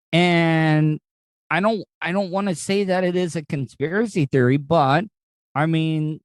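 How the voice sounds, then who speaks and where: A man's voice: mid-range voice, a little mellow, no echo. American man chatting, dry sound. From United States. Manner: rambling, repeats words and restarts often. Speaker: podcast host. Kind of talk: casual podcast chat. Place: outdoors outside a hospital.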